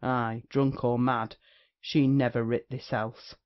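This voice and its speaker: An actor playing a brazen, witty rake in a period stage comedy, declaiming with mocking insolence. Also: male voice, mid-range voice, little pitch movement, slow, highs cut off, lightly acted. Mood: teasing. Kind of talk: theatrical period comedy acting.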